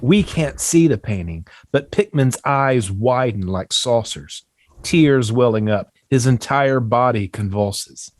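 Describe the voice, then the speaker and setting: A man's voice: mid-range voice, animated, slow pace, dry recording. A man reading stage directions from a horror screenplay as scripted narration.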